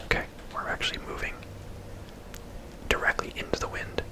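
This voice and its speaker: A person whispering casual, chatty ASMR commentary while playing a video game. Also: normal speed.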